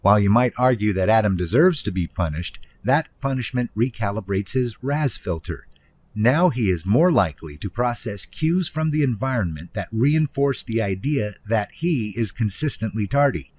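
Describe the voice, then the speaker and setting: A man reading a nonfiction audiobook in an instructive, emphatic way, stressing key phrases deliberately. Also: lowish voice, expressive, a little mellow. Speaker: author narrating own audiobook. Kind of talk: scripted nonfiction audiobook narration.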